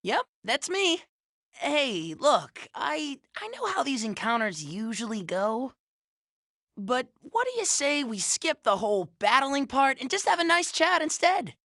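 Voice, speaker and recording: A friendly young boy hero from a cartoon game. The voice is high and bright, casual and good-natured, with an earnest, slightly awkward charm. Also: sing-song, measured pace, dry recording, studio quality, full character voice. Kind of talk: animated game cutscene acting.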